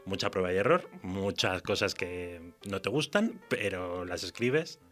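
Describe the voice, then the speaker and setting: Male guest writer, Spain accent, bright forward voice on the lower side, informal and self-deprecating, faint music. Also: unhurried. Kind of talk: casual interview chat.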